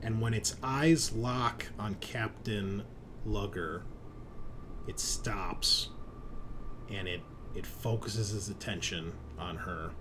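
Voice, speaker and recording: Slow-paced man running a tabletop game. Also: fairly low pitch, background noise. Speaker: game master and host. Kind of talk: improvised game narration and casual chat.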